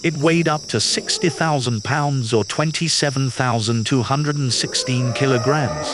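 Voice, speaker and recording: A man reading a children's nonfiction picture book aloud in a clear, patient, teacherly way. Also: mid-range voice, heavy background noise. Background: background music.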